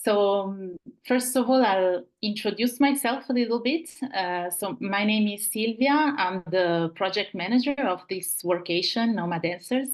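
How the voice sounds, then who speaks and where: A woman from Italy with an Italian accent, hosting and presenting an online webinar over a video call, halting and restarting as she searches for words. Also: mid-range voice. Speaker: webinar host and project manager.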